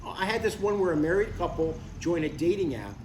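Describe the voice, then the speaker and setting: A man's voice: high voice, animated, a little fast, a little mellow. An older man playing a blunt, devout father in a live comedy table read, matter-of-fact and mildly exasperated. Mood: casual, earnest. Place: live reading in a room. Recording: roomy, distant live recording with faint noise.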